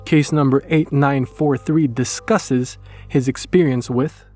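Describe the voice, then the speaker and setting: A man giving scripted, dramatic horror narration in a measured, portentous manner. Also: mid-range voice, steady tone, dark tone. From Poland. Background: music.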